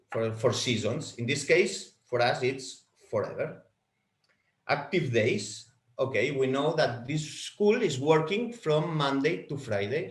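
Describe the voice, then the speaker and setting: Spanish-speaking guy demoing software, slow, stops and repeats himself a lot, mid-pitch voice. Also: roomy sound. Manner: halting, repeats words while clicking through settings. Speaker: product trainer. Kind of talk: software walkthrough webinar.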